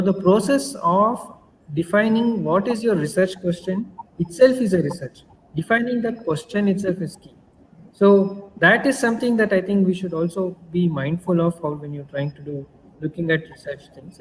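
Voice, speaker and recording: Fairly high-voiced man. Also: big-room sound, a little hiss or hum, rough recording. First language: Kannada. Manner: thoughtful, explanatory, searches for words. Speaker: panelist. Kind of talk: virtual panel discussion. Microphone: video call.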